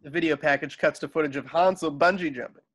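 A man's voice: on the high side, quick pace, a bit bright. A man narrating stage directions for a script table read over a video call.

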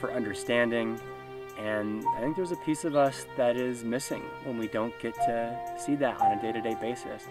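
Medium-pitched man over music. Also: moderate pace. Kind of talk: documentary interview. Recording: music behind.

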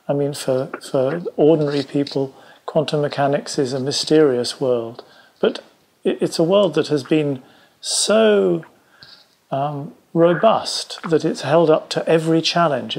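A man's voice: on the high side, expressive, unhurried, vocal fry, a little mellow. A man interviewing someone in a conversational way, with polite, slightly hesitant phrasing.

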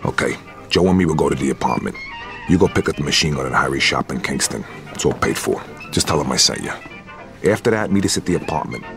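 A cool, hard hitman in a crime game. The voice is extremely deep and crackles with fry, blunt and matter-of-fact, over clear background music. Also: animated, fast talker. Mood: brisk, confident. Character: cool, hard Sicilian-born hitman mobster planning a job. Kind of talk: video game cutscene acting.

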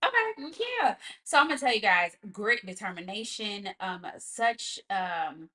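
A woman's voice: mid-range voice, very animated, unhurried, pushed and bright. A woman hosting a casual online team talk over a video call, speaking in an effusive, emphatic way. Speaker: host interviewer.

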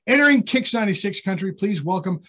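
Host: man, higher voice with a husky edge, upbeat chatty radio interviewer. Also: animated, quick pace, a bit bright. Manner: enthusiastic, chatty interviewer with restarts.

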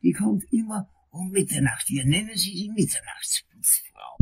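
An actor playing a fussy, shaken older lawyer in a crime radio play, with a breathy, raspy, halting, long-winded delivery. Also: male voice, high voice, expressive, full character voice. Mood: agitated, horrified. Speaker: client, prosecutor character.

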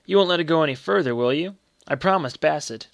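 A man's voice: a little high, fast talker, gravelly, no echo, voice pushed up. An earnest, solemn little boy, acted out by a male narrator in a storybook reading. The voice is lifted and close, with a dry sound, and the delivery is polite and secretive.

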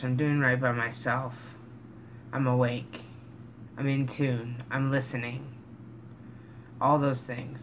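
A middle-aged man speaks slowly and earnestly in a reflective, casual vlog style, often halting mid-sentence. His voice sits at an average pitch. The recording is dull and a bit lo-fi, made in a small room with noticeable background noise. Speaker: vlogger. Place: small cluttered room indoors. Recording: dull, noisy home recording.

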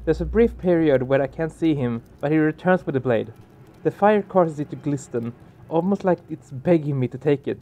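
Higher-pitched man doing game commentary. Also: normal speed, mellow, slight background noise. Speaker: let's play streamer. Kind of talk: casual gaming commentary, reading game text aloud. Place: small room indoors. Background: game music.

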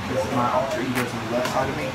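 A man's voice: mid-range voice, level tone, rapid-fire, heavy background noise. A man in his thirties vlogging casually to a phone camera as he makes a shake in a beach condo kitchen. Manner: upbeat, talks to camera while cooking. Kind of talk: casual vlog chat. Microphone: phone camera at arm's length.

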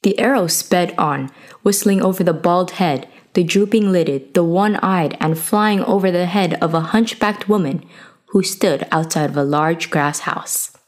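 Female storyteller for folktale narration, slightly low register, reading from a script. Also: a little slow.

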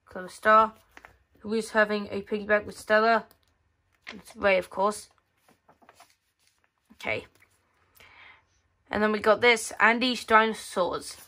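Bright-voiced casual female magazine commentator. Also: medium pitch, little pitch movement, slow, pauses often. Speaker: host of a magazine flip-through video. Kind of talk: casual commentary, reading page text aloud. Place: indoors at a tabletop.

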